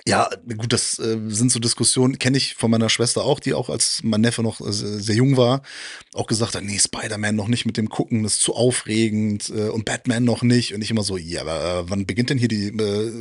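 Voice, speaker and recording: A man's voice: lowish voice, steady tone, a little fast, breathy edge, no room sound, studio quality. A middle-aged man talking as a show guest in casual, nostalgic fan chat, informal and opinionated. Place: indoor media collection room, seated on sofa. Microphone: handheld microphone close to mouth.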